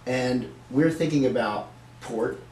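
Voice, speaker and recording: A man's voice: medium pitch, slow, a little mellow. A middle-aged man hosting a live webcast, chatty and upbeat with frequent fillers. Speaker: host. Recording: roomy, faint noise.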